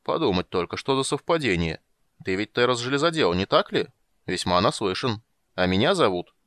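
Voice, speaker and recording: An ancient male wizard, grave and measured, slipping between sternness and forgetful kindness, read by a man narrating the book. The voice sits low and plain, recorded close and dry with no room around it. It unhurriedly weighs every word. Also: sing-song, fairly bright tone, dry recording. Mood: genial.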